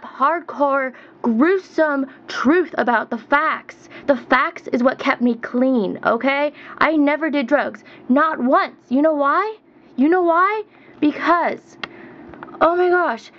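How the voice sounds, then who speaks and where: A young woman in her twenties vents at the camera, her voice high and insistent as she repeats her points with rising pitch. The sound is dull and lo-fi, like a cheap webcam mic. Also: a little hiss or hum. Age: 20s. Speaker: vlogger. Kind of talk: heated rant to camera.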